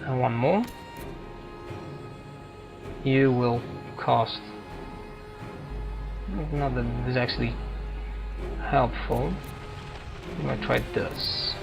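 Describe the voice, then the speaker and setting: A man's voice: medium pitch, steady tone, extremely slow, gravelly, long pauses. A man giving casual gameplay commentary as a let's play host, thinking aloud as he goes. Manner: thinking aloud, muttering to himself. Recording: game music loud behind voice. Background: video game music.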